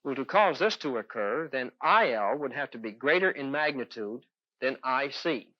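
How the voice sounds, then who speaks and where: A man's voice: mid-range voice, lively intonation, normal speed, no echo. A man lecturing as an electronics instructor in a 1970s instructional film, explaining methodically step by step. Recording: dull, dry 1970s film soundtrack.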